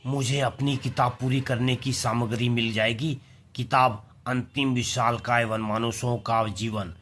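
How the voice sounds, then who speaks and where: A man performs an earnest male scientist from an adventure audio story. He is devoted and excitable, given to indignant, anguished exclamations in a melodramatic manner, at a middling pitch. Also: measured pace, a little hiss or hum, natural voice. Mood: absorbed, fretful.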